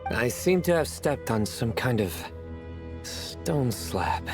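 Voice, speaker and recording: A man's voice: medium pitch, sing-song, takes it slowly. An actor playing a cocky, bantering young male adventurer in a video game, teasing and theatrical. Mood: casual. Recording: faint game music behind voice.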